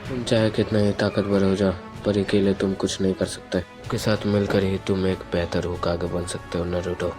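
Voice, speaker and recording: A man's voice: low-pitched, level tone, moderate pace, music in the background, close to an everyday voice. A man voicing a brooding, intense young male ninja rival in an anime fan dub, solemn and confessional.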